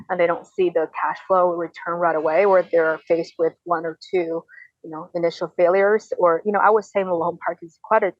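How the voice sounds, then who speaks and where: A woman's voice: on the low side, no echo, dull audio. A woman in her twenties answering conversationally as an interview guest, often repeating and rephrasing her phrases. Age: 20s. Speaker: podcast guest, real estate investor.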